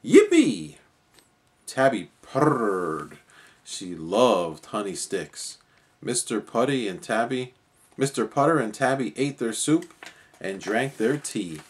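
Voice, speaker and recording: A man narrating a children's story expressively as a storyteller. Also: medium pitch.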